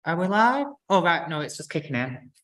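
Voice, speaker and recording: A woman's voice: on the low side, sing-song, normal speed, fairly bright tone. A woman, hosting a casual live interview in an informal, hesitant, rambling way. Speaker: host of a running channel.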